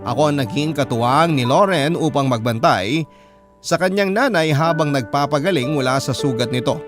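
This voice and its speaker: An earnest boyfriend played by a male actor narrates his own love story for a radio drama. His voice is slightly high, sincere and reflective, with clear music playing behind it. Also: moderate pace, close to an everyday voice. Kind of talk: scripted first-person radio drama narration.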